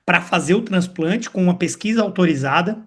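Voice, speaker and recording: A man's voice: high voice, fairly quick, a bit bright, husky. A man in a mid-range voice hosting a live online question-and-answer session as a professor. He answers in a friendly, encouraging way and offers help. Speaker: host and professor.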